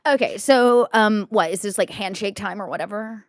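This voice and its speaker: A woman's voice: medium pitch, normal speed, dry recording, close to an everyday voice. A woman playing a spoiled, flighty young vampire businesswoman in a tabletop game, with airy valley-girl filler and plenty of self-assurance. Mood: eager. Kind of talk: improvised tabletop roleplay.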